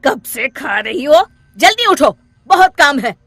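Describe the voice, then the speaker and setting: A woman playing a harsh but easily cowed stepmother in a sharp, nagging, theatrical manner. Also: sits high, sing-song, a little slow, soft background music, heavily acted. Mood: scolding.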